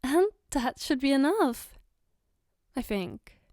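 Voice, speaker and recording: A woman playing a caring, playful girlfriend in an ASMR roleplay, gently reassuring and patiently explaining as she gives soft personal attention. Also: high-pitched, very animated, takes it slowly, no room sound, studio quality, full character voice. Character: caring, playful girlfriend doing her partner's makeup.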